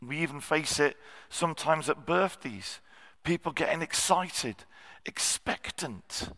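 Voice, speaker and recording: Husky male preacher, bright tone, long hall reverb; self-deprecating, halting delivery. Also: fairly high pitch, animated. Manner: self-deprecating, frequent ums and throat clearing. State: slightly husky voice. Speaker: guest preacher. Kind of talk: sermon. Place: church hall.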